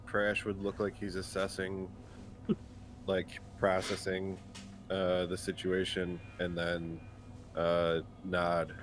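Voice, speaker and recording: A man with a low, even voice plays a tabletop game. He talks slowly and tentatively, piecing his moves together casually. Light music sits in the background. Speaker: player in a tabletop roleplaying game. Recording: music behind the voice.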